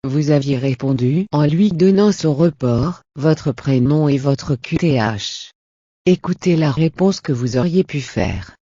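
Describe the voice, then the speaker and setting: A man's voice: fairly high pitch, a little slow, dark tone, dry recording, muffled recording. A man presenting a scripted lesson in an encouraging, instructional way. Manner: encouraging, didactic.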